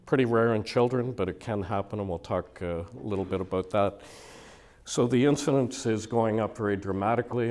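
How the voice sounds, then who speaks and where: A man in his sixties, slightly hoarse and raspy from a cold, giving a conversational, explanatory public lecture to a live audience in a lecture hall. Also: on the low side, darkish tone.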